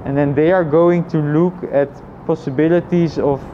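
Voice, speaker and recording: A man thinking aloud in a casual, reflective personal vlog, with halting phrasing and frequent repetition. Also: on the high side, fairly even intonation, warm tone, dull audio, slight background noise. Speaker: vlogger.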